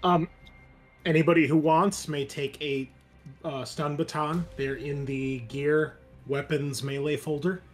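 A man's voice: a little high, fairly even intonation, a little slow, soft background music. A man hosting as game master, talking casually through a tabletop roleplaying game. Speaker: game master and channel host.

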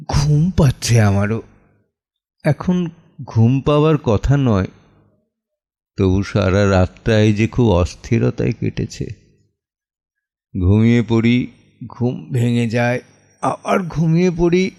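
A man playing an anguished, weary father in an audio drama monologue, speaking theatrically with heavy pauses. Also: mid-range voice, animated, mellow. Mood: weary, reproachful.

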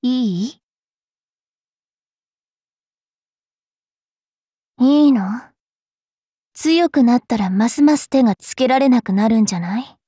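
High-voiced haughty young fairy witch. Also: lively intonation, moderate pace, mellow, no room sound, studio quality. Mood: smug, composed. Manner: switches between prim politeness and rough, taunting speech. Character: young female fairy witch knight, bookish, sharp-tongued, haughty. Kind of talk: anime mobile game character voice lines.

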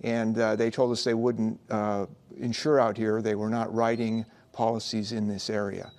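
A man's voice: fairly low pitch, little pitch movement, normal speed, a little mellow. An older man, a homeowner, recounting hesitantly outside his house on a lapel mic.

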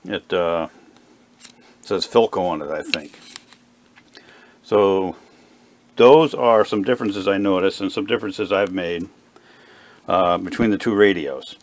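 Man, low-pitched voice, hobbyist repairer, unscripted demonstration, halting, thinking aloud, indoors at a workbench. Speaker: hobbyist radio repairer presenting his own video. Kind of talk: unscripted hands-on demonstration narration.

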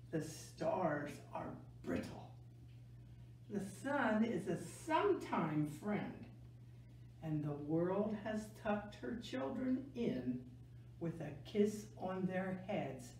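Older woman, children's story reader, mellow and slightly low voice, gentle deliberate delivery, distant mic. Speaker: library story time reader. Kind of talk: picture book read-aloud for children. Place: small indoor room, seated. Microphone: camera a few metres away. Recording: echoey room, distant mic, faint noise.

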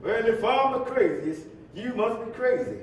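A man's voice: animated, normal speed. Echoey, playful preacher on stage , man acting. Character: famous civil rights preacher, playful, teasing, slightly jumpy. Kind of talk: staged play reading, conversational dialogue. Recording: echoey hall, distant, faint rumbling noise.

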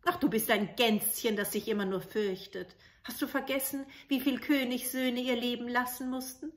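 A woman's voice: a little high, steady tone, normal speed, pitched above the performer's own voice, lightly acted. A woman voicing a haughty eldest princess in a fairy tale, scornful and falsely sweet. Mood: mocking.

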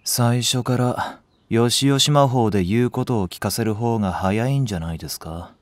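A man's voice: medium pitch, normal speed, no echo, natural voice. A man voicing a lazy, sardonic young nursery teacher in a voice drama, languid and teasing. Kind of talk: Japanese voice drama acting.

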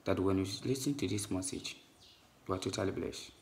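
A male channel host comments directly to his viewers. The voice is low and gravelly, caught in a quiet recording with a little noise behind it. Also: a little fast.

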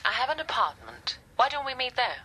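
An actress playing a brisk, probing, coolly polite woman scientist in a radio drama, heard through a telephone. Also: a little high, very animated, sharp tone. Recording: telephone line with clear background noise.

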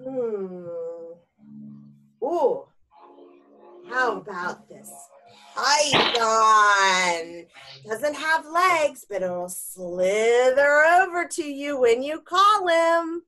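A woman's voice: sits high, expressive, drawn-out words, pushed and bright, soft background music, full character voice, poor audio. A woman playing an eager, persuasive animal shelter worker in a children's storybook, with coaxing, exaggerated delivery. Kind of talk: theatrical children's storybook read-aloud.

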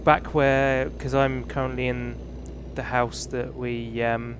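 A man's voice: mid-range voice, level tone, slow. A man in his thirties with a British accent, chatting casually while he streams a driving game, rambling and self-mocking. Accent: British English. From England. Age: 30s. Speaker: livestream host. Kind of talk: casual gaming livestream chat. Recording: game engine noise behind. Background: truck engine and road noise from the game.

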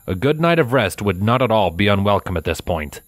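Deep-voiced male story narrator. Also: sing-song, a little fast, no echo. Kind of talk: scripted dramatic story narration.

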